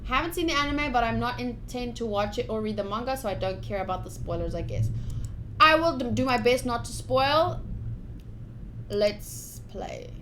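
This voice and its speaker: A woman with a higher-pitched voice chats to viewers on a casual game live stream. She is informal and talkative, addressing them directly. There is some background noise on the recording. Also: animated, normal speed, fairly bright tone, reverberant. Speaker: live streamer playing a visual novel.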